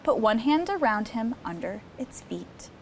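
A young woman hosts a children's story time in a high voice full of swoops and lifts. She reads the picture book expressively and talks to the kids in an encouraging way. A low rumble of noise sits behind her voice. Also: big pitch swings, measured pace, rough recording. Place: studio set with seated children.

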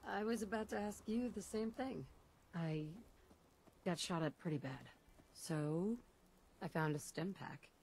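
A grieving young mother in a video game, her voice on the low side. She is a shaken wasteland survivor, with faint noise behind her. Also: measured pace, long pauses, a little mellow. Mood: bitter, resolute. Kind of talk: video game dialogue acting.

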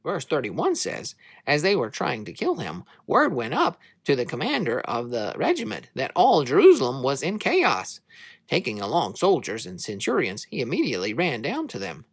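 A narrator reading scripture to children as a dramatic story, in an expressive, measured way. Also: mid-range voice, breathy edge, no echo.

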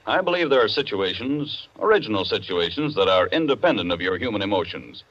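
A man playing a courteous but argumentative club member in a 1940s radio play, speaking deliberately. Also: lowish voice, very animated, a bit bright. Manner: deliberate, debating. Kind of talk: 1940s radio drama acting. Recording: dull 1940s radio recording.

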